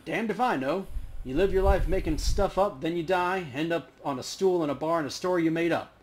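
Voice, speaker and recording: A man's voice: fairly high pitch, fairly quick, natural voice. A man playing the ghost of an old veteran storyteller in a video game, rambling and wry.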